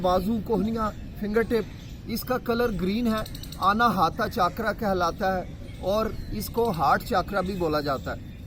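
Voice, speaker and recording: A man teaching a health and yoga lesson, explaining in plain words and often pausing with fillers. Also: high-pitched, background noise. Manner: explanatory, with frequent hesitation fillers.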